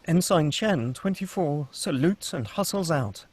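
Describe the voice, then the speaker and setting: Husky man reading stage directions. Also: a little high, measured pace, no room sound. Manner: steady, matter-of-fact reading. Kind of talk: table read narration.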